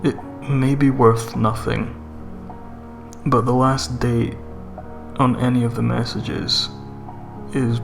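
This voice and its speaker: A man's voice: medium pitch, level tone, slow, creaky, long pauses. A man reading a scripted horror story as a narrator, with music behind him. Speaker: creepypasta narrator.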